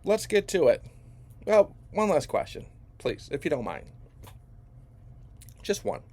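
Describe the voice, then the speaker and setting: A man from Chicago giving an emphatic, profane opinion rant that swings between hushed and loud. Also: a little high, big pitch swings, unhurried. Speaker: vlogger.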